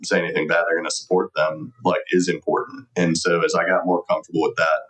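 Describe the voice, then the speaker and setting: A man's voice: low voice, little pitch movement, fast talker, a bit bright, low-quality recording. A middle-aged man answering conversationally as an interview guest, earnest and reflective. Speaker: podcast guest, lawyer.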